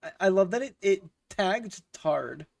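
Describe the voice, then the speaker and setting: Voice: medium pitch, lively intonation, measured pace, vocal fry, a little mellow, no room sound, recorded from a distance. Casting note: sniffly, cold-stricken game streamer, crude and playful with chat, mid-range voice, recorded a little off-mic. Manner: profane, joking, laughs often, reads chat aloud. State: getting over a cold, sniffly. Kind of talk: casual live game-stream chat with viewers.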